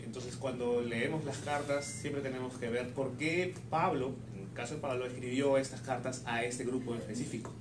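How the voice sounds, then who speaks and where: A man's voice: medium pitch, fairly even intonation, moderate pace, few pauses. Male sermon interpreter in a noisy, echoey room. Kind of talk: consecutive live interpretation of preaching. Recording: echoey room with clear background noise.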